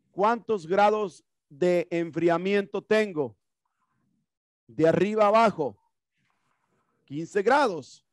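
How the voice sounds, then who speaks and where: A man's voice: high-pitched, dry recording, studio quality. A man in his thirties teaching an HVAC class in a classroom, asking questions and answering them himself. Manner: explanatory, asks and answers his own questions. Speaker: HVAC instructor. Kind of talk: classroom lecture. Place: classroom with whiteboard and HVAC equipment. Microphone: headset mic.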